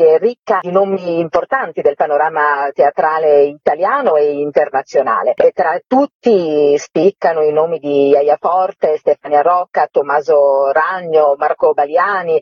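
A woman answers as an artistic director in a radio interview, explaining things in formal phrasing. Her voice has a husky edge. The recording is very dry and a little muffled. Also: fairly low pitch, dry recording.

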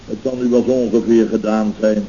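A man plays a pompous boss of a building firm in a vintage radio comedy, wallowing melodramatically in self-pity and bluster. His tone is soft-edged and mellow. The old recording is muffled, with a clear layer of noise. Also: fairly low pitch, steady tone, brisk, natural voice. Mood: solemn self-pity. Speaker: actor in a radio comedy serial. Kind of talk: 1970s Dutch radio comedy acting.

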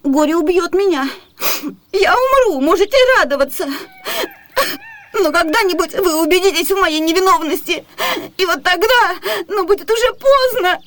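A woman's voice: very high voice, normal speed, fairly bright tone. An actress playing a melodramatic young noblewoman in a classic comedy radio play, plaintive and theatrical. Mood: tearful reproach.

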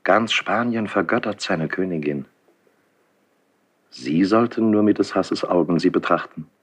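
An actor playing an older, insinuating court priest in a classical German radio drama, speaking formally and solemnly with breathy, husky declamation. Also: male voice, low voice, a little slow. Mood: feigned astonishment. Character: insinuating older court priest, confessor to the king, smooth and probing.

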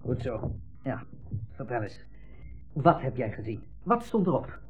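Smug captain in a sixties radio drama, mid voice, leading questions with lots of ehs, boxy old sound. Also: male voice, mid-range voice, very animated, a little slow, soft background music. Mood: condescending. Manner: hesitant hems, leading questions, condescending. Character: smug, insinuating army captain interrogating refugees. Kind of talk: 1960s Dutch radio play acting. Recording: muffled old radio recording, narrow band.

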